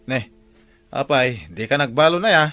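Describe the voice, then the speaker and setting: A man's voice: mid-range voice, expressive, normal speed, sharp tone, no room sound, faint music behind. A man playing a stubborn, lazy gambling husband and father in an Ilocano comedy radio drama, blustering and complaining. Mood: scolding.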